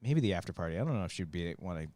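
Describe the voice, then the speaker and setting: A man's voice: deep voice, lively intonation, racing, a little mellow, dry recording. A man co-hosting a podcast, chatting casually about TV shows. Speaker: podcast co-host.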